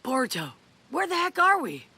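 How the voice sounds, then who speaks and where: A woman's voice: sits high, huge pitch swings, moderate pace, creaky, exaggerated voice. A woman voicing a brash, hot-headed young ninja in an anime dub, urgent and exclaiming. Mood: exasperated. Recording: loud music behind.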